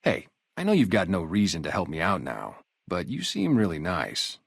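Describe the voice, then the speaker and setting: Low-voiced, streetwise wasteland sniper guard. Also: male voice, huge pitch swings, normal speed, gravelly, breathy edge, dry recording, clean studio sound, exaggerated voice. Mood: earnest, friendly. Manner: casual, streetwise, conversational. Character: gruff ex-gang sniper guarding a wasteland town, loyal, guarded. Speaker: security guard and former soldier. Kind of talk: video game character dialogue, naturalistic.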